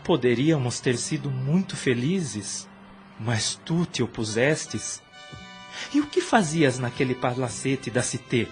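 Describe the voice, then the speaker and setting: A wounded young lover from a radio drama, played by a man, speaking slowly with a Brazilian accent and formal, old-fashioned phrases. His delivery is emotive, at a middle pitch, with music underneath. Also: sing-song. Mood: hurt, reproachful. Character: wounded, reproachful young man in love, former priest.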